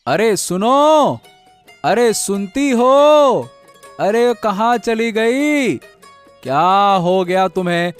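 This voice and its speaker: A man's voice: sits high, animated, very slow, clean tone, faint music behind, heavily acted. A man playing a bossy but caring husband in an acted story, chatty, emphatic and affectionately nagging. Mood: impatient, calling out. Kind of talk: acted Hindi audio story dialogue.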